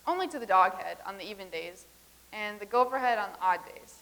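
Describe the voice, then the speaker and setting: A woman's voice: mid-range voice, expressive, brisk, creaky, a bit bright. A woman reading the part of a visitor from the far future in a staged comedy reading, explaining everything in an earnest, matter-of-fact way.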